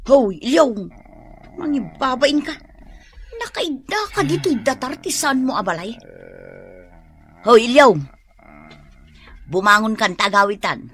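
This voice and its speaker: An actress playing a fed-up, scolding Filipino wife with an Ilocano accent in a radio comedy drama. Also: female voice, a bit bright, slightly rough, exaggerated voice. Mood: scolding, exasperated. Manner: nagging, shrill.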